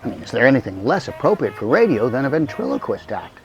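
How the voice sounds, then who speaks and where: A man's voice: mid-range voice, very animated, fast, faint music behind. A man hosting with scripted, conversational narration addressed to the audience, recorded a little dull. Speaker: host introducing a radio comedy recreation.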